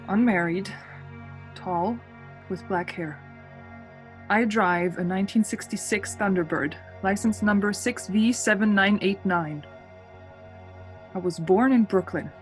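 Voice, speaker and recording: A woman's voice: mid-range voice, slow pace, long pauses, faint music behind, natural voice. An actress playing a frightened young traveler who insists she is sane, in a suspense radio play, hesitating and repeating words. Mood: anxious, urgent.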